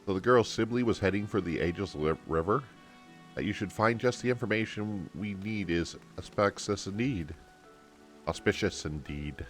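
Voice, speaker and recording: A man's voice: fairly low pitch, normal speed, faint music behind, close to an everyday voice. A man voicing an earnest, courtly video game character who speaks with formal, old-fashioned politeness. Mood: thoughtful, formal.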